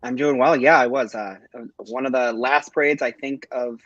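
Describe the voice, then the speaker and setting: A man in his thirties co-hosting a casual live chat, talking in a relaxed, hesitant way with many ums and restarts. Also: mid-range voice, moderate pace, no echo, muffled recording. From Michigan. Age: 30s. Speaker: co-host, campaign volunteer.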